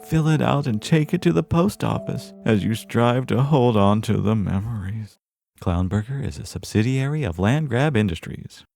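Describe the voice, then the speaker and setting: Tragic male clown pitchman, played by a man: lively swooping pitch, theatrically friendly yet needy, wistful radio-ad storytelling, gravelly edge. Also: faint music behind. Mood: mournful salesmanship. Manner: drawn-out, dramatic, wistful storytelling.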